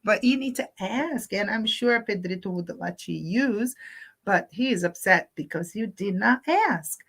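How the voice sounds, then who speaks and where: A woman's voice: mid-range voice, lively intonation, unhurried, vocal fry, a bit bright, husky. A woman hosting a children's storytime livestream, talking to young children in simple teacherly phrases mixed with Spanish words. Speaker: host of a children's storytime channel.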